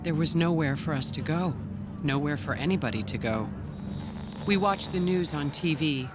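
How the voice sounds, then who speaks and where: An actor playing a survivor who recalls a childhood evacuation in dramatic first-person narration. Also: male voice, a little high, moderate pace, very noisy, lightly acted. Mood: somber recollection.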